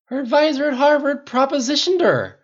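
A narrator plays a loyal, hard-swearing military friend in an audiobook. The talk is blunt and emphatic, swinging widely in pitch, with slightly muffled sound. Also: very animated, moderate pace. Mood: indignant.

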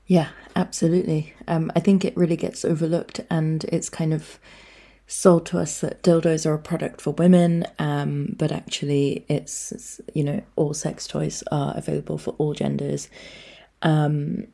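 A woman host with a very low, gravelly voice that stays flat. Her tone is mellow and dark. She speaks in a calm, measured way. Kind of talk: casual discussion.

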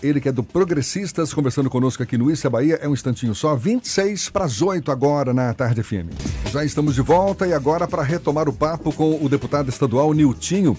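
Apresentador de rádio, homem de voz suave e escura, música baixinha ao fundo. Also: male voice, a little high, fast, mellow, faint music behind. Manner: announcer's smooth segment links. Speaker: radio show host. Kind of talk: radio presenting.

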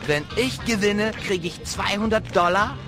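A man's voice: high-pitched, measured pace. A man playing an insistent, sarcastic police colleague who demands his money back in an audio drama. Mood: irritated demanding. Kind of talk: audio drama acting of a video game story. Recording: music under the voice.

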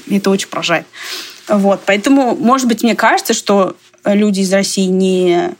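A woman's voice: mid-range voice, moderate pace. Chatty Russian-born woman, digressive talk. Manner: chatty, digressive, laughs often. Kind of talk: casual conversation.

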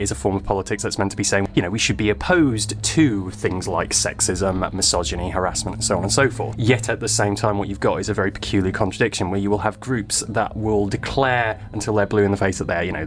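Young guy from manchester who sounds southern english, kinda low voice, jokey and sweary. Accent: southern English, no northern accent. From Manchester, England. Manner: wry, joking, swears freely. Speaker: PhD researcher, podcast guest. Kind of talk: casual interview chat.

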